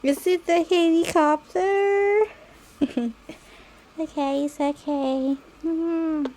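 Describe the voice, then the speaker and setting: A woman's voice: very high voice, steady tone, extremely slow, mellow. A woman who is a non-native English speaker, chatting casually and in a rambling, giggly way as she hosts a solo podcast. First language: not English. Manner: rambling, giggly, often trailing into small asides. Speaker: podcast host. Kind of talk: casual solo chat with personal anecdotes.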